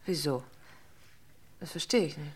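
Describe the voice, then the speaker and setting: Casting: reserved, subdued wife in radio crime drama, lowish voice, measured, terse. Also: female voice, a little hiss or hum. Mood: guarded. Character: guarded, subdued wife of a poisoned man.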